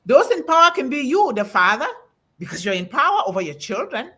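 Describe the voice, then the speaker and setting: Husky, animated woman preaching with emphasis. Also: sits high, brisk. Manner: emphatic, quotes scripture with rising emphasis. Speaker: host. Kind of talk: preaching-style talk on a panel.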